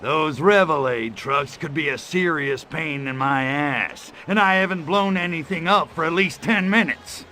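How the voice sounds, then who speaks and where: A man playing a cocky, crude, trigger-happy alien invader in a video game, with a gravelly voice and a sarcastic drawl. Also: fairly high pitch, a little slow, hardly any pauses, sharp tone. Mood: swaggering. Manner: sarcastic, smart-alecky drawl. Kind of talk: video game cutscene acting.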